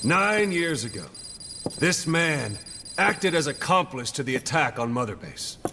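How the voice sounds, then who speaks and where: An actor playing a severe military prosecutor in a video game, reading out charges in a formal, deliberate, emphatic way. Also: male voice, fairly high pitch, sing-song, gravelly, over music, full character voice. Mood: stern. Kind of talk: dramatic video game acting. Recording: noisy, reverberant hall.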